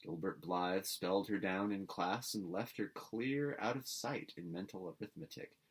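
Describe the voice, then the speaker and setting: A man's voice: deep voice, moderate pace, husky. Man narrating a classic storybook. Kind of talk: scripted read-aloud narration.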